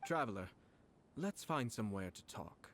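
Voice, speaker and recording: Game role: young clan head, diplomatic, composed, formal and subtly cunning, slightly low pitch. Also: male voice, lively intonation, normal speed, a bit bright, a little hiss or hum. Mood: earnest, reassuring. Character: poised, courteous, subtly sly young nobleman and clan head. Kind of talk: scripted video game dialogue.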